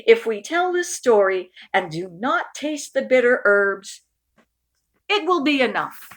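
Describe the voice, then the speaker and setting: A woman voicing a cheerful, agreeable older uncle in a storybook, with emphatic, drawn-out exclamations. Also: a little high, very animated, a bit bright. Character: Uncle Murray, cheerful agreeable older man hosting family Seder.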